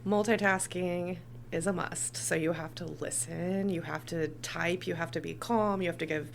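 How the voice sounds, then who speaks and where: Woman, podcast host, lowish voice, conversational interviewing, background hum and noise. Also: steady tone, normal speed, vocal fry. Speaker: podcast host, communications director.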